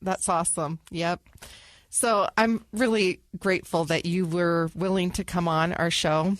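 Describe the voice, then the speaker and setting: A woman's voice: lowish voice, steady tone, measured pace, no room sound. A woman co-hosting a podcast, upbeat and chatty as she talks with a guest. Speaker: podcast co-host. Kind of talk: casual interview talk.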